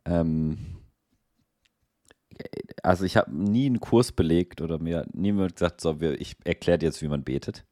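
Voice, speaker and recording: Very deep-voiced male podcast host. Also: moderate pace, no echo, clean studio sound. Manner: relaxed, thinking aloud with many fillers. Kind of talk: casual theological podcast chat.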